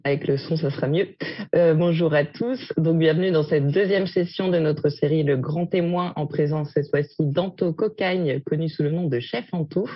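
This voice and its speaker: Woman interviewer, husky breathy voice, polite formal introduction, dry close sound, dull lo-fi audio. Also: sits low, normal speed, no room sound. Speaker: host, interviewer. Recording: muffled lo-fi audio.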